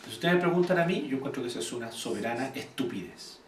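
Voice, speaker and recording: A Chilean man giving an informal church teaching talk, blunt and animated, quizzing the audience as he goes. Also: medium pitch, quick pace, a little hiss or hum. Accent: Chilean Spanish. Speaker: church speaker teaching volunteers. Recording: echoey room, voice from far off.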